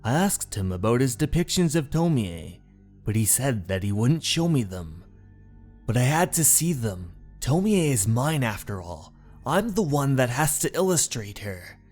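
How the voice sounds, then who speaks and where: A man's voice: medium pitch, big pitch swings, darkish tone, soft background music. A man playing an obsessed, unhinged painter in a horror story, narrating in a theatrical, brooding manner. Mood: obsessive, uneasy. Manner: slow, theatrical, brooding, with anguished outbursts. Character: obsessed painter, unhinged, infatuated, confessing a murder. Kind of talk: dramatic first-person horror story narration.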